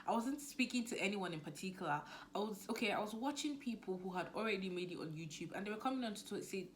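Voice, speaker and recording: A young woman with a Nigerian accent talking casually to camera as a business coach, lively and now and then dropping to a near-whisper. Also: medium pitch, fast talker, a little hiss or hum. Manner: chatty, animated, drops to near-whisper asides.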